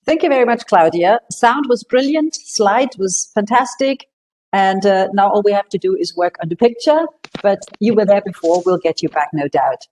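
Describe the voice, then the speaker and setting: A woman moderating an online panel over a video call, upbeat, chatty and brisk as she passes the floor. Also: mid-range voice, lively intonation, darkish tone, slight background noise, rough recording.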